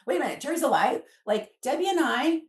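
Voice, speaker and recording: A woman's voice: sits high, expressive, quick pace. An American woman in her fifties telling a personal story as a podcast guest, rambling along and acting out snatches of dialogue. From Alaska, United States. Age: 50s. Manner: rambling, quotes dialogue as she goes. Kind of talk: animated personal storytelling in a conversation. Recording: echoey room, distant mic.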